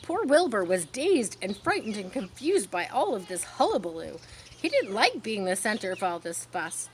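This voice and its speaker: A woman reading a children's story in an expressive storytelling style, with informal asides. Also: mid-range voice, moderate pace. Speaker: storybook reader. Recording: faint noise with birdsong behind. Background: birdsong.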